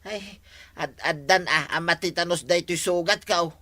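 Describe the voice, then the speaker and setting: A woman's voice: fairly low pitch, normal speed, pushed and bright, no echo, pitched below the performer's own voice. A woman with an Ilocano accent voicing an injured husband, whining in pain, in a comedy drama. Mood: pained, pleading.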